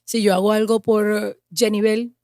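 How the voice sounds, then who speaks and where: A woman's voice: medium pitch, level tone, a little slow, mellow, no room sound, clean studio sound. A woman in her forties, a pastor and mother, answering interview questions in an earnest, emphatic way. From Puerto Rico. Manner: earnest, emphatic, lists with building rhythm.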